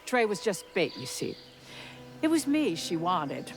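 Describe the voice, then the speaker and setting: An actress playing a genteel, menacing older Southern matriarch in a video game, with measured, coy, condescending politeness and an American Southern accent. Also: female voice, lowish voice, very animated. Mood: measured, sly. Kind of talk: video game cutscene acting. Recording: music under the voice.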